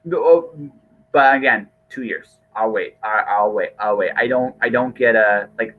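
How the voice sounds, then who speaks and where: A man's voice: mid-range voice, measured pace, narrow, tinny audio. A man hosting a podcast in casual conversation, talking informally and often repeating and rephrasing himself. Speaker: podcast host. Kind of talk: casual interview chat.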